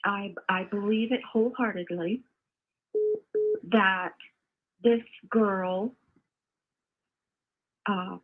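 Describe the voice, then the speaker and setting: An older woman calling in by phone to give her opinion, halting and earnest, often repeating herself. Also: medium pitch, steady tone. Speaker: caller, mother of the host. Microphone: phone call. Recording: muffled, narrow phone call audio.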